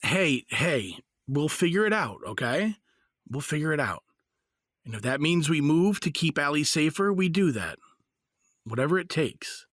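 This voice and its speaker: A man's voice: on the high side, sing-song, measured pace, a bit bright, no room sound, studio quality, full character voice. A man playing a supportive, earnest father in a fantasy audio drama, with a natural conversational delivery. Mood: reassuring. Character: supportive, argumentative father held in a fantasy jail.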